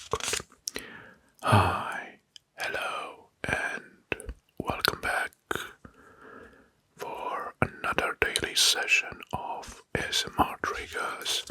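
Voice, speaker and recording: A man whispering an ASMR history narration into a close microphone, hushed and deliberate, softly repeating key phrases. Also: on the low side, no room sound. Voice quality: breathy, mostly unvoiced whisper. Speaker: ASMR host.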